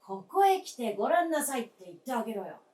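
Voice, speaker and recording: Distant echoey stage, hearty grown son, animated delivery, familiar, gently bossy, radio drama. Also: male voice, extremely high pitch, fast talker. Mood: eager, instructing.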